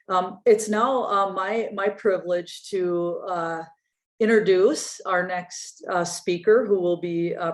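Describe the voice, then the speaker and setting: Lady hosting an online panel, slightly low voice, stops and starts a lot. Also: darkish tone. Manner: hesitant, pausing often mid-sentence. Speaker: moderator introducing speakers. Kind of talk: event introduction with halting delivery.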